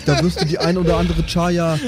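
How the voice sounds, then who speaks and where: A man's voice: on the high side, level tone, fairly quick, a loud crowd. A man playing in an improvised tabletop audio play, bantering casually in slang and stumbling over his words. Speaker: tabletop player.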